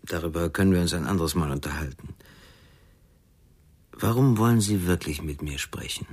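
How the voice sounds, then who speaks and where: Probing male counsellor, radio drama, deep voice, husky, formal, measured, composed. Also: a little mellow. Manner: measured, formal, parrying with questions. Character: unflappable, probing male psychologist turned counsellor. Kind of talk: German radio crime drama acting.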